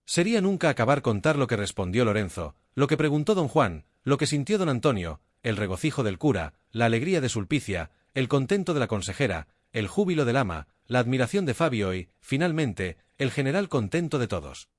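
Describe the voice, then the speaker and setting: Guy reading an old novella out loud, really expressive, dry close mic. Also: mid-range voice, dry recording. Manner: expressive, measured literary reading. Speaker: narrator. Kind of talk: reading a classic novella aloud.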